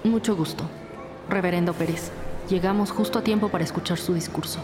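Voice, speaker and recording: A woman's voice: fairly low pitch, steady tone, a little fast, lightly acted. A woman playing a courteous, businesslike lead detective who probes with questions, in an audio drama. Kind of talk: Spanish-language audio drama acting. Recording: clear background music under voice.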